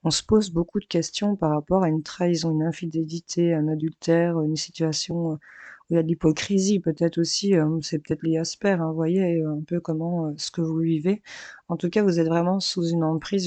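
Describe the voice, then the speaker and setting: A woman doing a chatty daily tarot reading for each star sign, hoarse from losing her voice, hesitant and addressing viewers as friends, with her mic set a bit farther away. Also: deep voice, steady tone, fairly quick, warm tone, no echo. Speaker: tarot card reader. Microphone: mic placed farther away.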